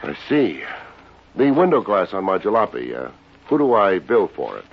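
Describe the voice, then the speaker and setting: An actor playing a wisecracking, unsentimental private investigator in an old radio detective drama. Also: male voice, deep voice, wildly swooping pitch, normal speed, full character voice. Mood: wry. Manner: wry, hard-boiled, slangy. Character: wisecracking, unsentimental male private investigator narrating his case. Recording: dull, noisy old radio recording.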